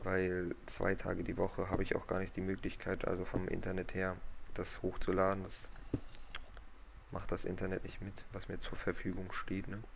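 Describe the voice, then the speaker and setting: Hoarse low-voiced man with a gravelly edge. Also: normal speed. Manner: relaxed, rambling, thinks aloud. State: hoarse from a cold. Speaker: gaming channel host. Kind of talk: casual let's-play commentary. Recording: noisy home mic recording.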